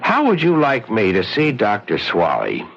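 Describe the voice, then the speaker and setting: An actor playing a skeptical, wisecracking bartender neighbor in a 1970s radio drama, casual, sardonic and streetwise. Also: male voice, fairly low pitch, huge pitch swings, normal speed, creaky, heavy background noise, exaggerated voice. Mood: wry, probing. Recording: dull old radio broadcast recording.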